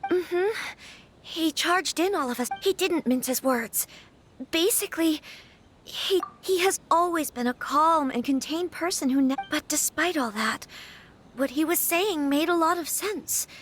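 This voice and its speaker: A woman's voice: high-pitched, unhurried, a little hiss or hum. An actress playing a polite young noblewoman in a game, speaking formally but hesitantly, with frequent sighs. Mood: troubled. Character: young noblewoman, polite and troubled, about to wed.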